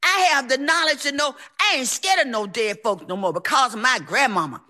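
A woman's voice: sits high, animated, brisk, bright, forceful tone. A woman telling a personal story in a theatrical, emphatic, folksy way, acting out what people said. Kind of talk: live personal storytelling on stage.

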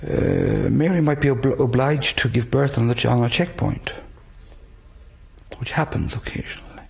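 Irish priest, raspy gravelly voice, lots of ums and uhs. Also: male voice, mid-range voice, normal speed, darkish tone. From Ireland, west coast. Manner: hesitant, many fillers. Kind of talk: interview answers.

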